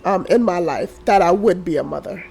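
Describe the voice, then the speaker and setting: A woman in her thirties, a podcast guest who is hoarse from a cold. She shares her story slowly, with many fillers. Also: fairly low pitch, animated, mellow, low-quality recording. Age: 30s. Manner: slow and unhurried, with frequent fillers. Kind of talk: casual interview, telling her story.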